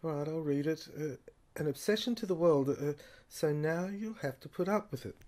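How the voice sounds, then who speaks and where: A woman playing a weary researcher in an audio drama, haltingly reading out translated fragments and repeating phrases. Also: sits low, a little mellow, lightly acted. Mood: puzzled, halting. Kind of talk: amateur serial audio drama acting, halting read-aloud dialogue.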